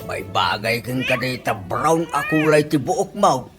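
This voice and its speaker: A woman's voice: a bass voice, expressive, unhurried, over music. A woman playing a good-natured, teasing father of fifty in an Ilocano-accented radio comedy, given to exclamations. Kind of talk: comedy radio drama acting.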